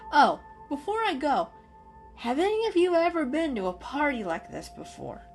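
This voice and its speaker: A woman's voice: high-pitched, very animated, moderate pace, faint music behind, pitched above the performer's own voice. A woman voicing a friendly, reassuring grown male cat who chats and explains kindly in a storybook reading. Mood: cheerful, helpful.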